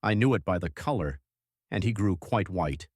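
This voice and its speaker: A man acts out an earnest young husband narrating his journal for an audiobook. His voice is on the low side and his delivery is measured and literary. The recording is very dry. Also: animated, no echo. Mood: sober recounting.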